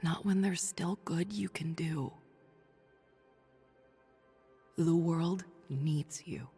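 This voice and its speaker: Husky, weary, defiant sick woman hero. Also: low-pitched, mellow, big-room sound, soft background music, full character voice. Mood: weary resolve. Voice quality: husky, breathy, creaky. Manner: halting, confiding, resolute. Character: ailing woman doctor turned hero, stubborn, weary, defiant. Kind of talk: dramatic video game acting, audio log monologue.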